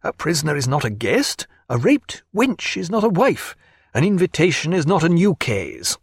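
A man voicing an excitable, fussy ship's surgeon in an audiobook. The figure speaks high and agitated, with a light lilt. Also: normal speed, slightly rough, dry recording, full character voice. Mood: argumentative.

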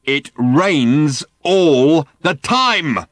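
An audiobook lorry driver, acted out by a man, working-class and full of grievance. He pitches high and hard, hammering each point with dark, indignant insistence. The sound is dry and close. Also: brisk, dry recording, natural voice. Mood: indignant, raving. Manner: emphatic, indignant, darkly insistent. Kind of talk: audiobook character voice.

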